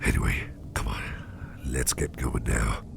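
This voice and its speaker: A man's voice: very deep voice, little pitch movement, a little slow, creaky, exaggerated voice. A man playing a caring, protective businessman boss in an intimate ASMR roleplay, whispering breathily and soothingly, often repeating his reassurances. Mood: gently attentive. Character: caring, protective male boss, gentlemanly businessman, soft-spoken and doting.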